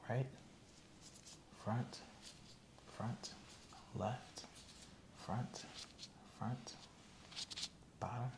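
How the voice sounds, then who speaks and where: A man playing the patient in an ASMR exam roleplay, giving quiet, terse, even answers. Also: mid-range voice, extremely slow, creaky, pauses often, roomy sound, noisy background. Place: small indoor room set up as an exam room.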